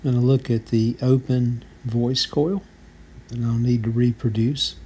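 A man's voice: mid-range voice, steady tone, a little slow, mellow, a little hiss or hum. A man giving a clipped, matter-of-fact how-to voice-over as he narrates repair work step by step. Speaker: radio restorer and repair channel host.

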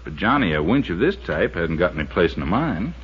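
Low-voiced folksy fishing buddy. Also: male voice, big pitch swings, fairly quick, a bit bright, husky, exaggerated voice. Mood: matter-of-fact, wary. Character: folksy, easygoing male fishing buddy, cautious and practical. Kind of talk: 1950s radio drama acting. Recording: dull, very noisy 1950s radio recording.

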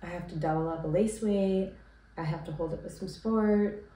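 A woman's voice: lowish voice, moderate pace. A woman in her thirties who is sick and congested, chatting casually and rambling with many ums as she hosts her knitting podcast from a small room at home. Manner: relaxed and rambling, with frequent ums and likes. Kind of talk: casual chat to camera. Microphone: camera at a distance. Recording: roomy, distant camera sound.

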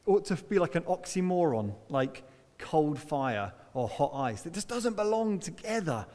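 A man's voice: high voice, a little mellow. A middle-aged man preaching a sermon from a church hall stage, telling stories in a conversational way with self-deprecating laughs. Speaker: pastor.

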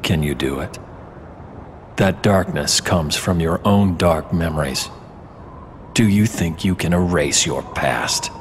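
An actor playing a cold, taunting villain swordsman in a video game cutscene, speaking with slow control. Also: deep voice, in a large hall, a little hiss or hum. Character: cold, menacing one-winged male villain swordsman.

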